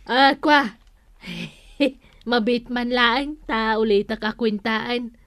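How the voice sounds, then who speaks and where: A sly village storekeeper in a radio comedy, speaks with a Northern Philippine accent. The voice sits a little high and moves slowly. It is sheepish and given to muttering, recorded very dry. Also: female voice, vocal fry, a bit bright, dry recording, heavily acted. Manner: mutters sums to herself, chuckles sheepishly. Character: sly village storekeeper councilwoman who pads customers' debts. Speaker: radio drama actor. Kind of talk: comedic Ilocano radio drama acting.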